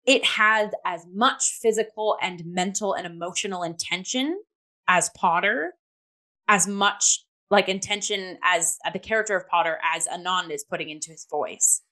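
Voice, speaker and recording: A woman in a casual review discussion, hosting and talking in an animated, emphatic way with many filler "likes". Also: on the low side, moderate pace. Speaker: theatre review show host.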